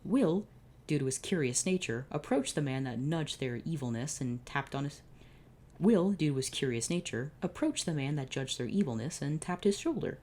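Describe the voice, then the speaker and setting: A woman's voice: low voice, lively intonation, normal speed, a little mellow. A woman reading a fan fiction story aloud, stumbling over words and restarting. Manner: reads with slips and restarts.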